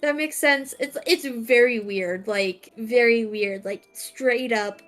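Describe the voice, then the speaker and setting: A young woman chats through a game stream, slow and halting, with plenty of fillers and false starts. Her voice sits a little high, and faint music plays behind her. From United States. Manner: hesitant, many fillers and restarts. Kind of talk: casual chat.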